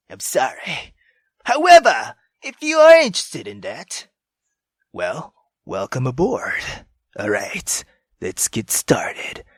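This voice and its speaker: A man's voice: high voice, a bit bright, no room sound, studio quality. Rambling, swearing gaming host man. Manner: rambling, filler-heavy, swears casually. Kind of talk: casual gameplay commentary.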